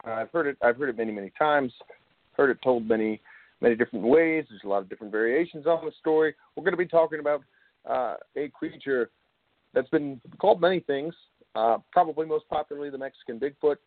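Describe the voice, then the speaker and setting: Male host, native English speaker, halting informal explainer, phone-like and roomy sound. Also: medium pitch. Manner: halting, frequent filler pauses. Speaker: show host. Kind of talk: informal solo explainer monologue. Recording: roomy, phone-like tinny line.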